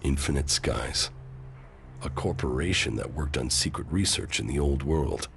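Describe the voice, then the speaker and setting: A man playing a grim, stoic military commander in a post-apocalyptic sci-fi game, speaking in a gravelly, brooding, measured and solemn way. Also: very deep voice, a little hiss or hum. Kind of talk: dramatic video game acting and narration. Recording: music under the voice.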